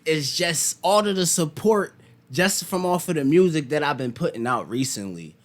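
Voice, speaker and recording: Man, young adult, Philadelphia accent, rapper guest, casual podcast chat, animated storyteller, slightly high voice. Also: moderate pace.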